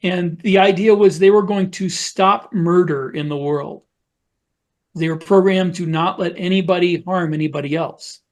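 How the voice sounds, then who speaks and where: A man with a noticeably high-pitched voice speaks as a podcast co-host. He explains and recounts things conversationally, like someone telling a story to friends in a casual panel discussion. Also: normal speed.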